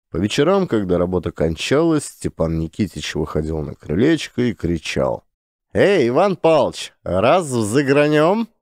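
A male narrator reads an audiobook in a measured storytelling style, close to a studio mic. His voice is low and mellow. The recording is dry, with no room sound. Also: no echo. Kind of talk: scripted narration.

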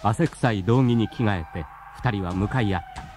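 A man giving measured, formal scripted narration for a radio drama. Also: fairly low pitch, darkish tone, a little hiss or hum. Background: music.

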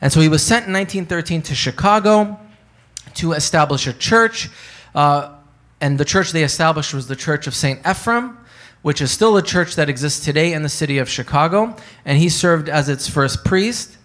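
A man in his thirties gives a loose, chatty academic talk at a conference panel, his voice a touch higher than most men's. He hedges often and leans on fillers as he explains. He speaks into a handheld microphone in a hotel meeting room. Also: expressive, moderate pace, fairly bright tone. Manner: conversational lecturing with many fillers and hedges.

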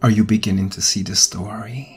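Man acting a whispering, breathy ancient vampire. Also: on the low side, steady tone. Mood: earnest, intense. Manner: slow, hushed, deliberate, coaxing. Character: ancient male vampire, menacing yet soothing, softly seductive captor. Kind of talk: ASMR roleplay, whispered dramatic monologue. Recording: close voice over background music. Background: music.

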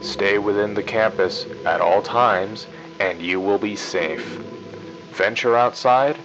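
A man playing a stiff, ominous school principal reading a formal, measured announcement in a retro public service style. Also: lowish voice. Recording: dull lo-fi 1990s tape broadcast. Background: music.

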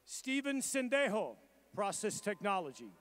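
Very high-voiced man reading graduation names. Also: very animated, a bit bright. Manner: measured, formal roll-call delivery. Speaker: commencement name reader.

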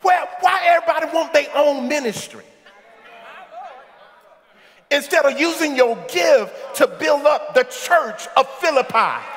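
A middle-aged man preaching a sermon in a large church sanctuary on a headset mic, shouting at the peaks and tossing quips at the congregation. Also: very high voice. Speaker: pastor. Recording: echoey sanctuary with congregation and organ. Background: congregation and soft music.

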